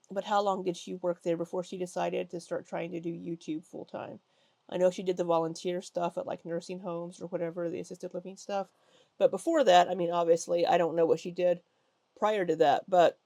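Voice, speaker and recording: A woman's voice: on the low side, vocal fry, darkish tone, dry recording. Congested woman giving critical commentary. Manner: chatty, critical, many ums. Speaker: commentary YouTuber. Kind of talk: reaction commentary over clips.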